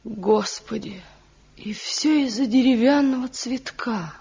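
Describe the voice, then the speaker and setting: An actress playing an anxious, pleading wife in a mountain village, acting earnestly in an old radio drama. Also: female voice, fairly high pitch, takes it slowly, gravelly, warm tone, breathy edge, exaggerated voice. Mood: concerned. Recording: dull, faintly noisy 1970 radio recording.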